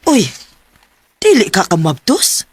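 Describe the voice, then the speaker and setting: A woman's voice: mid-range voice, wildly swooping pitch, takes it slowly, husky, dry recording, heavily acted. An actress playing a practical, affectionate middle-aged mother in a radio drama, reassuring and chatty, with a Cebuano accent. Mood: reassuring, concerned.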